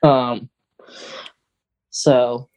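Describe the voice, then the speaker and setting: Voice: mid-range voice, little pitch movement, extremely slow, darkish tone, rough recording. A podcast co-host chatting casually and speculating as a fan, often hesitating and thinking aloud.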